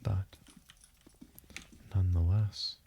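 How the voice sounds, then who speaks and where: Low, dark-voiced man, slow and hushed. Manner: hushed and breathy, drifting into whisper; calm, deliberate delivery. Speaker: gaming video host. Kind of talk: slow, soothing sleep-meditation game commentary. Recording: faint hiss with keyboard and mouse clicks. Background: keyboard typing and mouse clicking.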